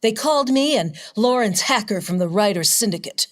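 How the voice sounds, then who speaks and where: A woman playing a sardonic, unflappable high-society newspaper reporter in an old-time detective radio play. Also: expressive, brisk, close to an everyday voice. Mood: matter-of-fact, wry. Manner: dry, sardonic.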